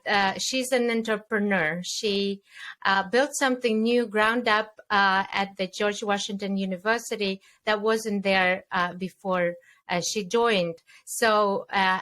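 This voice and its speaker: A woman's voice: medium pitch, a bit bright. A woman hosting an interview, introducing her guest with frequent hesitations. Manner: hesitant, many fillers. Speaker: host.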